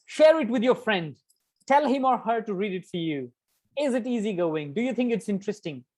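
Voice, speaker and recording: A man's voice: high voice, lively intonation, a little fast, darkish tone. A man giving an academic writing workshop, talking emphatically, repeating key words and adding conversational asides. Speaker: workshop lecturer. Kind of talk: interactive academic workshop lecture.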